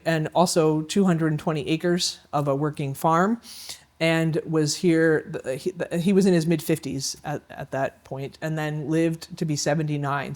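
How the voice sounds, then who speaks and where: A man guiding a tour of a historic house, explaining slowly with many fillers and restarts. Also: fairly high pitch. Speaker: historic site guide. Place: indoors in a historic house, dining room.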